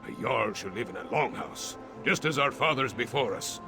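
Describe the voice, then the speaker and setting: Proud, scornful old-ways warrior type for a fantasy game, mid-range, music behind. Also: male voice, medium pitch, expressive, normal speed, vocal fry. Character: gruff, disdainful traditionalist Nord man. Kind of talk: video game character dialogue.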